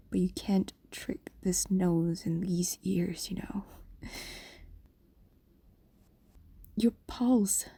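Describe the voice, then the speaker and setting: A woman acts out an ancient female fox demon, tender and possessive, in a fantasy audio roleplay. Her voice is mellow and very breathy, often sinking into whispers. She coaxes slowly and soothingly, close up in a dry space. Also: medium pitch, no echo. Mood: possessive, concerned. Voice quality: soft, breathy, largely whispered. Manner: slow, soothing, hesitant pauses, coaxing. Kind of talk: intimate fantasy audio roleplay, spoken to listener. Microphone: close microphone, dry.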